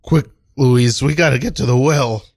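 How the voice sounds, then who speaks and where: A man's voice: medium pitch, normal speed, darkish tone, dry recording, studio quality, close to an everyday voice. A man playing a drunk, lovesick colonial father who pleads melodramatically in improvised comedy. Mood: urgent. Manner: pleading, overwrought.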